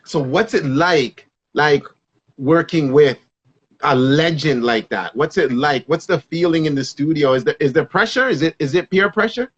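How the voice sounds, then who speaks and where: A man's voice: fairly high pitch, moderate pace, a bit bright, slightly rough. A man hosting an interview show, asking enthusiastic, rambling questions. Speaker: show host. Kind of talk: casual interview. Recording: dull dry sound.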